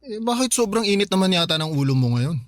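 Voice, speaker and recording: A man's voice: high voice, big pitch swings, fast talker. A man playing a mild, tired and sickly young husband and father in a Filipino radio drama, subdued in manner. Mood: mildly annoyed. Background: faint music.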